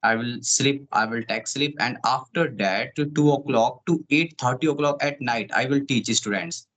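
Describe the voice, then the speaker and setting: A man's voice: mid-range voice, moderate pace, a bit bright, rough recording. A male teacher, not a native English speaker, chatting casually and repeating points as he talks. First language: Hindi. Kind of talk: casual conversation.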